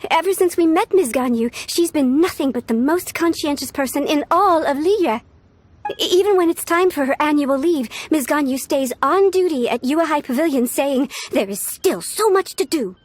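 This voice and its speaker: Earnest young female secretary for a game, high-pitched, conscientious, a little anxious. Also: animated, moderate pace, a little hiss or hum. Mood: earnest, insistent. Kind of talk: video game voice acting.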